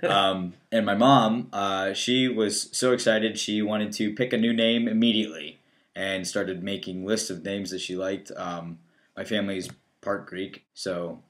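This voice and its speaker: A man's voice: lowish voice, fairly even intonation, unhurried, a bit bright. A man in his early twenties, co-hosting a casual chat in a plain, matter-of-fact way with a wry edge. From northeastern Ohio. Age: 20s. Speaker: co-host.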